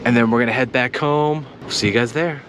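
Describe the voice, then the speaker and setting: A man's voice: mid-range voice, a little fast, heavy background noise. A man talking casually to viewers as a family vlogger, in an informal, chatty way. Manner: informal, addresses viewers as guys. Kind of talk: casual vlog chat to viewers.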